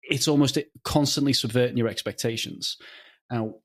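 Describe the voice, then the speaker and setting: A man being interviewed as a writer, talking conversationally in a halting, thoughtful way. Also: mid-range voice. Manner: halting, thoughtful, often chuckling.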